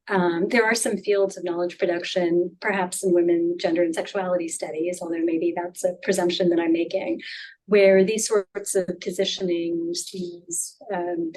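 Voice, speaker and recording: Lower-voiced woman asking careful academic questions. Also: darkish tone, poor audio.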